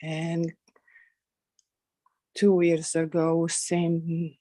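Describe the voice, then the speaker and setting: A woman from Romania, grieving and asking a meditation teacher for guidance in halting speech over a video call. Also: sits low, completely flat delivery, mellow, no echo. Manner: halting, with many fillers.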